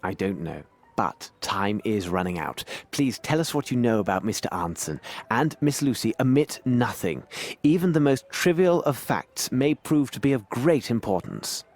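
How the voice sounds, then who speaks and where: An actor playing a shrewd English gentleman detective in a video game, with a British accent and precise, courteous, commanding speech. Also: medium pitch, very animated, moderate pace. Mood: urgent, commanding. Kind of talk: video game cutscene acting.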